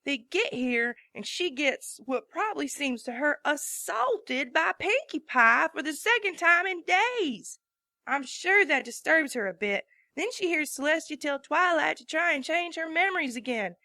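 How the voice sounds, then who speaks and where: A woman's voice: high voice, animated, a little slow, sharp tone, dry recording, full character voice. A woman voicing a determined female farm pony who talks in a folksy, explaining way. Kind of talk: fan audiobook reading.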